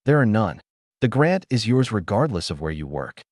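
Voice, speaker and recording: A man reading the lines of a composed billionaire mentor who speaks calmly and with dignity. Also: lowish voice, normal speed, dry recording, clean studio sound.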